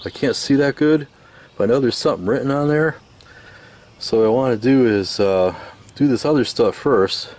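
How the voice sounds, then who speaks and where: A man giving a casual hands-on restoration demonstration, musing aloud in an unhurried, hesitant way as he works. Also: mid-range voice, darkish tone. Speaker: radio restoration hobbyist.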